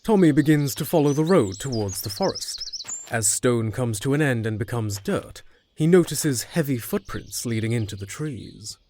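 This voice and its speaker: A man's voice: mid-range voice, lively intonation, moderate pace, a little mellow, slight background noise. A man reading scripted narration for a fantasy audio drama.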